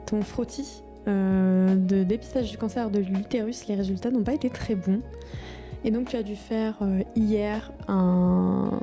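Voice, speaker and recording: Mellow, dark-voiced young woman, hesitant. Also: mid-range voice. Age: 20s. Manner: informal, hesitant. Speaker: vlogger. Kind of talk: casual video diary, talking to her future self. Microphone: microphone in front of a camera. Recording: music behind the voice. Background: music.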